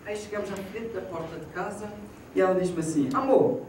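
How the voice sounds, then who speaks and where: A young man with a high voice and a European Portuguese accent tells stand-up stories on a small theater stage. He acts out each exchange with animation. The recording is echoey and distant, and the audience is clearly audible in the hall. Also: a little fast, slight background noise. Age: 20s. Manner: animated storytelling, acting out quoted dialogue. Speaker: stand-up comedian. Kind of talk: stand-up comedy set. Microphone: handheld mic, filmed from far off. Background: audience laughter.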